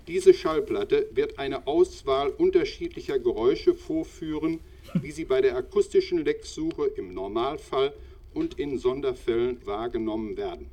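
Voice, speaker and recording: An actor playing an earnest narrator of an old-fashioned technical instruction record, speaking formally, precisely and pedantically. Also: male voice, mid-range voice, dark tone, close to an everyday voice.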